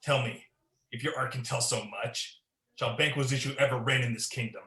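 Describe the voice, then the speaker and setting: A man's voice: medium pitch, steady tone, moderate pace, bright, forceful tone, close to an everyday voice. A young man playing an ambitious, guilt-ridden king in a Shakespearean radio play, declaiming in a commanding, theatrical way. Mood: confident, scheming. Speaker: student performer. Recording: echoey room.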